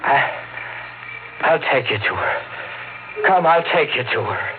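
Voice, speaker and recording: A man's voice: medium pitch, big pitch swings, unhurried, very noisy. An actor playing a haunted truck driver losing his mind, narrating with brooding drama in 1950s radio horror style. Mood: dazed. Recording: tinny old radio recording with loud music.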